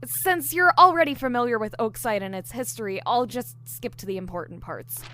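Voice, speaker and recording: A woman's voice: on the high side, a little fast, pushed and bright. A woman playing a friendly, earnest young investigator in an audio drama. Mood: earnest, focused. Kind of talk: audio fiction acting.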